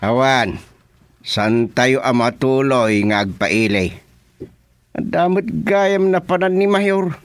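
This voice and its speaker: An actor playing an excitable, complaining village man in an Ilocano radio comedy, exclamatory and with an Ilocano Filipino accent. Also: medium pitch, slow, no room sound. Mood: disappointed, complaining.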